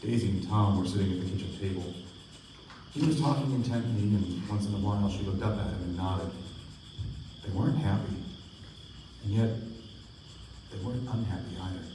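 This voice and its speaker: A man's voice: fairly low pitch, normal speed, pauses often, natural voice. A man playing a reflective, melancholy narrator and loyal friend in a scripted live radio play. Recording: echoey distant stage recording, faint noise.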